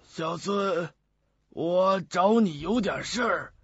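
An actor playing a sinister old man who feigns kindness in a radio drama, husky and drawn-out. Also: high voice, a bit bright, dry recording, soft background music, exaggerated voice. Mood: falsely kind. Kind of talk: Mandarin radio drama acting. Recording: dull lo-fi audio.

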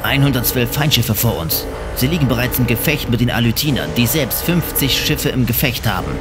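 A man's voice: medium pitch, animated, a little fast, over music, close to an everyday voice. A man playing a composed starship officer in an audio drama, reporting in a factual, formal manner. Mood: composed, alert.

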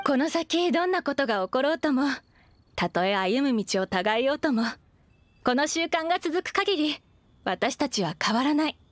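A woman's voice: high voice, animated, moderate pace. A woman voicing a composed, sincere young craftswoman in an anime game, with feminine phrasing. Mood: sincere, resolute. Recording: faint music behind.

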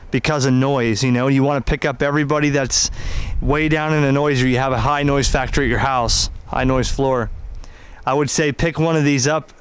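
A man with a fairly high, clear voice explains a piece of ham radio equipment. He talks conversationally and haltingly, like a hobbyist demonstrating gear without a script. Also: no room sound. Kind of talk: unscripted product demonstration and review.

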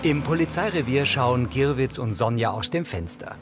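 A man's voice: medium pitch, expressive, normal speed, music in the background. A man reading scripted audio description narration for a television film.

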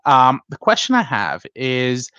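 Male host on a headset, dry close sound, lively pitch, friendly. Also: a little slow, clear, resonant tone, dry recording, muffled recording. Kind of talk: video call interview.

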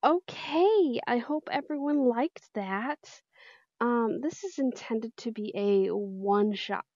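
A friendly woman with a slightly high voice talks casually to her viewers. She takes her time, and the recording is dry and a little dull. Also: expressive, takes it slowly, a little mellow, dry recording. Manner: friendly, grateful, chatty. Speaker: channel host and voice actor. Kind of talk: casual outro chat to viewers.

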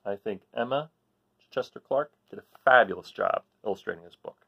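An American man in his forties from New Jersey, chatting casually and haltingly as he explains things to children. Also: fairly low pitch, creaky, breathy edge, lo-fi sound. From New Jersey, United States. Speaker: read-aloud host. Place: small living room, seated in an armchair.

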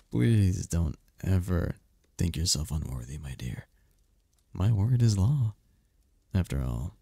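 Man voicing a deep, gravelly, seductive demon prince. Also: very animated, warm tone, dry recording, full character voice. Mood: tender, possessive. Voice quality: husky, gravelly low voice. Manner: regal, slow-savouring, flirtatious. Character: seductive, possessive male demon prince, commanding and doting. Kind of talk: ASMR roleplay monologue.

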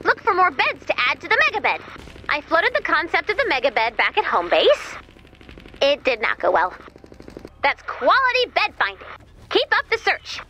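A woman voicing a cheerful, chatty, excitable robot helper in a video game, with a perky, quirky delivery. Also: sits high, normal speed, a little hiss or hum, heavily acted, poor audio. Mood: chatty, encouraging. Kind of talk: video game mission dialogue.

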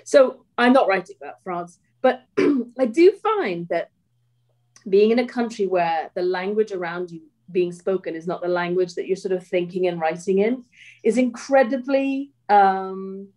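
A woman's voice: mid-range voice, moderate pace, a little mellow. A woman writer chatting on a video call panel, rambling and hesitant, often trailing off and starting over. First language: English. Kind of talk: casual panel talk.

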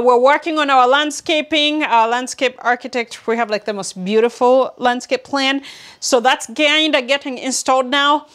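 A woman from Uganda in her thirties sits in her big walk-in closet and talks straight to the camera. Her voice sits a little high, and she gestures a lot as she speaks. She is chatty and loose, full of likes and restarts. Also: a bit bright. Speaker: vlogger. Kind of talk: casual talk to camera. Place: large walk-in closet at home, indoors. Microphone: seated, medium framing to camera.

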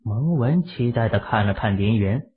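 A man's voice: lowish voice, lively intonation, moderate pace, phone-quality sound. A man reading a story as a scripted, dramatic audiobook narration.

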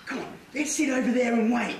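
Man playing a high-pitched bossy pantomime gangster. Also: brisk. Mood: exasperated, bossy. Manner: stammering repetition, loudly projected. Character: bossy bungling male gangster henchman, impatient and exasperated. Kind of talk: amateur pantomime stage acting. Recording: echoey hall stage, noisy old camcorder, audience.

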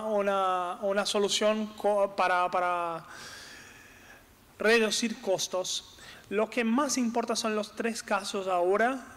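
Brazilian man speaking halting second-language Spanish. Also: sits high, fairly bright tone. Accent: Brazilian Portuguese. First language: Portuguese. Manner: halting, searches for words with many fillers. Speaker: conference speaker. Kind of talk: conference talk. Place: large hall with brick walls, on stage at a table. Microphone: desk microphone on stand. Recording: long hall reverb.

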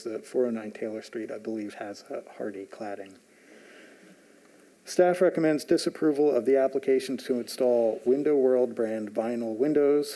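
A man on a zoning staff presenting a report at a public hearing, measured, with frequent "uh" fillers. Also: on the low side, mellow, rough recording. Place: large municipal meeting room.

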